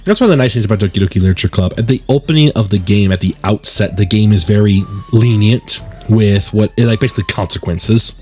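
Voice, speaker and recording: A man's voice: on the low side, fast, darkish tone, soft background music. A man hosting a gaming video, giving conversational, opinionated let's play commentary and reading out narration. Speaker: gaming video host and musician.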